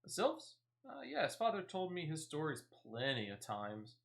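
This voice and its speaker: A playful young woman who can't resist a giggle as she tells her tale, voiced by a man. Her voice sits high and light in this fantasy game scene. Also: wildly swooping pitch, moderate pace, creaky, full character voice. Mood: amused. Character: young woman, daughter of an old adventurer, teasing.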